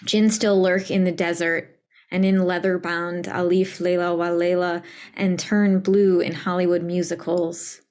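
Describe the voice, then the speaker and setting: Slow, low-voiced woman reciting poetry. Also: little pitch movement, a little mellow. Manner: measured, deliberate recital. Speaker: poet reading own work. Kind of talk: poetry reading.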